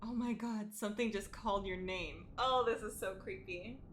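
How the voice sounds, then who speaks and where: A woman's voice: mid-range voice, moderate pace, a bit bright, close to an everyday voice. Lady doing anxious young friend in spooky visual novel, urgent and wary, noisy mic. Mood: spooked.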